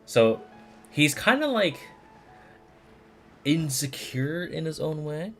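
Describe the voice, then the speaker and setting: A man livestreaming a game, chatting casually in a rambling, halting way as he searches for words. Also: fairly high pitch, animated, fairly bright tone, soft background music. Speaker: game streamer. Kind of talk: casual livestream commentary, replying to chat.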